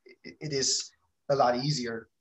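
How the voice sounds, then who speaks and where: A man's voice: medium pitch, steady tone, measured pace, fairly bright tone. A man in his thirties talking casually as an interview guest, candid and rambling, often correcting himself mid-sentence. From outside the United States. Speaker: guest, company founder and father.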